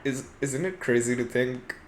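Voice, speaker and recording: A man's voice: medium pitch, moderate pace. Musing young man in noisy podcast audio. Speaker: podcast co-host. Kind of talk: casual opinionated chat.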